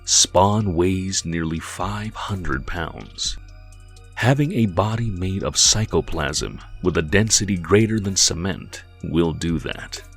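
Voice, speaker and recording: A man performing a dramatic comic book narrator. The voice is very low and husky, reading scripted narration over soft music. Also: takes it slowly, heavily acted. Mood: grave. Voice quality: gravelly, husky.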